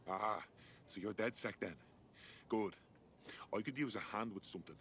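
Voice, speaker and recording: A man's voice: fairly low pitch, moderate pace, a bit bright, slight background noise. A man with a British accent playing an aggrieved working-class character in a video game, blunt and colloquial.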